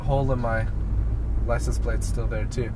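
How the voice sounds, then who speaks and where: A man's voice: medium pitch, steady tone, moderate pace. A young American man telling a story casually and dramatically, acting out the dialogue as he goes. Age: young adult. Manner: dramatic, acts out quoted dialogue. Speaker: guest storyteller on a friend's vlog. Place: inside a moving car. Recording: loud car and road noise.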